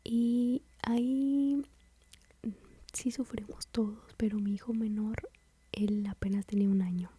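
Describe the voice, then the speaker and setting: A woman with a Mexican accent whispering softly as she tells a personal story about motherhood, in a confiding, rambling way. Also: a little high, steady tone, a little slow, husky, no echo. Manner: soft, confiding, rambling with fillers.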